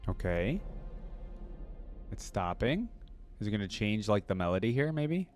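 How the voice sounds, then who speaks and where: Low-voiced man reacting over music. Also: very animated, a little slow. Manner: informal, thinking aloud in questions and exclamations. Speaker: reaction video host. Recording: music playing behind the voice. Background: instrumental theme music with piano and choir.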